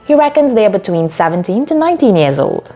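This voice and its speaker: A woman storyteller reading scripted narration in a measured, deliberate way. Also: mid-range voice, sing-song, mellow. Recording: music bed under the voice. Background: background music.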